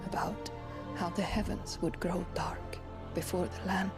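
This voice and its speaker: A woman acts out a haunted village wife from a fantasy game. She speaks very slowly and haltingly in a weary voice, with loud music swelling behind her. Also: lowish voice, steady tone, natural voice. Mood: haunted, sorrowful. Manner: halting, sombre. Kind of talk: cinematic fantasy game acting.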